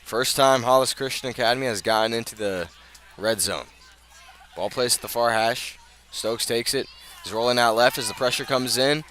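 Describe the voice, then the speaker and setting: A man calling live American football play-by-play for radio, moving between measured narration and raised, excited calls. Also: medium pitch. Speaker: play-by-play sports commentator. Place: outdoors at a high school football field. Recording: noisy outdoor stadium, live broadcast.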